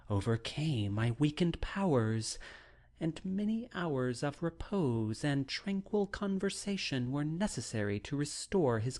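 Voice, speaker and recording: A man reading a classic novel as a dramatic audiobook, with a solemn, deliberate, literary delivery. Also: medium pitch, a little mellow. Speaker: audiobook narrator.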